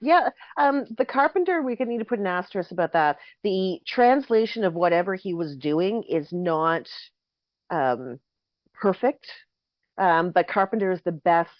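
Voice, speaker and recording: A woman with a fairly low, slightly hoarse voice lays out her points patiently, often circling back to rephrase. The sound is dry and close with no room around it. The recording is a little dull and lo-fi. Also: no echo. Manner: explains at length, thinking aloud with frequent self-corrections. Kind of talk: casual explanatory chat.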